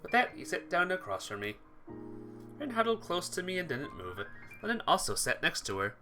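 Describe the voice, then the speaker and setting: A let's player narrates a visual novel in a bright, very animated voice. The reading is expressive, with some stumbles and repeated phrases. Music plays behind it. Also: medium pitch, moderate pace. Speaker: video game let's player.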